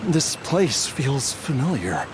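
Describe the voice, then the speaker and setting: A man voicing a haunted, weary but resolute searcher in a video game, earnest and halting. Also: medium pitch, very animated, background noise. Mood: uneasy, searching.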